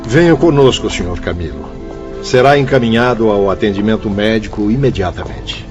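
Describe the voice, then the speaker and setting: A man's voice: fairly low pitch, animated, moderate pace, close to an everyday voice. A man playing a courteous attendant of the spirit world in a radio drama. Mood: reassuring. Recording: dull audio over music.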